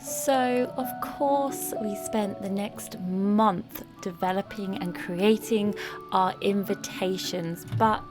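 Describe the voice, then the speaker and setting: A British woman vlogging about crafts in a chatty, excitable, playful and cutesy way. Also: mid-range voice, slow, music in the background. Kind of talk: chatty craft vlog with narrated voice-over.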